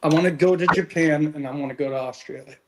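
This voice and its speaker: A man's voice: a little high, fast talker, background noise. An American man chatting casually, telling stories and acting out what other people said to him. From born in Connecticut, USA, lived in several countries as a child. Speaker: panelist on a pop culture hangout show. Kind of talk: casual chat.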